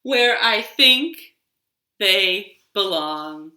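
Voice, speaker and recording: A woman voicing an expressive talking tree in a children's storybook, with drawn-out rhyming delivery. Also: medium pitch, full character voice. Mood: frustrated, exasperated. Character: talking tree, expressive, cheerful then exasperated by autumn. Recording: roomy, echoey home recording.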